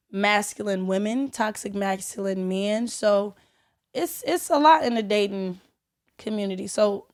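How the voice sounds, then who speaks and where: A young adult woman, a podcast guest talking in relaxed, chatty speech with fillers and the odd curse. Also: mid-range voice. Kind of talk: casual chat.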